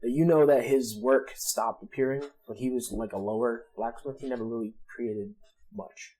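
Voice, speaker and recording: A male game master explains things to players at the table in an informal, halting manner. His pitch is ordinary, and he feels his way through the narration in conversational bursts. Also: steady tone, mellow, low-quality recording.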